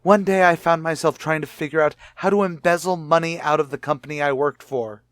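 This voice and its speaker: An actor playing a cornered husband with a false identity in a radio drama, earnest and self-justifying. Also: male voice, fairly high pitch, fairly even intonation, fairly quick, a bit bright, no echo, clean studio sound, close to an everyday voice. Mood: desperate, pleading. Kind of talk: old-time radio drama acting.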